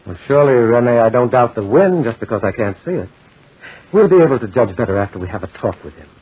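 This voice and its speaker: An actor playing a measured, open-minded gentleman doctor in a 1940s radio drama. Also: medium pitch, animated, husky. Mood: inquiring. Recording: muffled, tinny 1940s radio recording.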